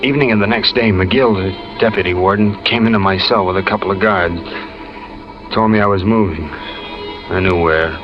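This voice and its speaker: A low-pitched man played by an actor narrates as a lonely, frightened prisoner awaiting execution. He speaks plainly and confessionally in a 1950s radio drama, on a muffled old recording with prominent music behind him. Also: moderate pace, close to an everyday voice. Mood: subdued. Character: fearful, lonely condemned man telling his story from death row.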